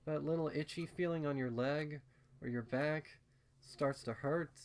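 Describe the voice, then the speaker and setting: A man's voice: fairly high pitch, a little slow. A man voicing a nervous, rambling young female pony with a hesitant, stammering, earnest manner in a fan fiction story. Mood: ominous, hesitant. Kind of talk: acted fan fiction reading.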